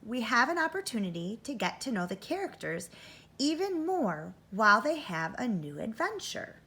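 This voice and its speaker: A woman's voice: on the high side, a bit bright, breathy edge. A woman teaching first grade, giving an interactive read-aloud for children, thinking aloud expressively and addressing young listeners directly.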